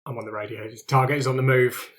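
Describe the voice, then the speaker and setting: A man's voice: mid-range voice, steady tone, fast, a bit bright, slight background noise, close to an everyday voice. A man voicing an urgent lookout in a tabletop game, reporting in a terse, clipped way.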